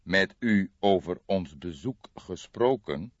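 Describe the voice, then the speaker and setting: A probing, kindly police detective in an audiobook, acted by a male narrator, measured and insistent. The voice sits fairly low, with a close, dry and slightly dull sound. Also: animated, no echo, exaggerated voice.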